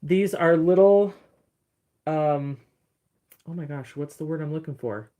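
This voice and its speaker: Deep-voiced woman, casual livestream chat, rambling, answering comments, phone mic. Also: measured pace, warm tone. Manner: chatty, rambling, reading and answering viewer comments. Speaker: encaustic artist streaming live.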